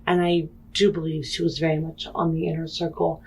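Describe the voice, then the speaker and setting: A woman playing a tabletop roleplaying game, speaking in character in a deliberate, earnest, questioning way. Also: sits low, flat delivery, slight background noise.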